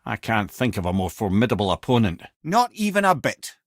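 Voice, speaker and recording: An actor playing a clever, wry old storyteller sage in a video game, with a Scottish accent and a theatrical, lilting, erudite delivery. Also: male voice, fairly low pitch, big pitch swings, a little fast, no echo, studio quality. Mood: wry, counselling.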